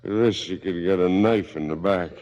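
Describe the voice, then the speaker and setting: An actor playing a wary, evasive former professor gone crooked in a 1970s radio drama, speaking tersely. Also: male voice, deep voice, normal speed, close to an everyday voice. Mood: hostile, defensive. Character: cagey, defensive male ex-professor turned crooked dealer. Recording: slightly dull 1970s radio recording.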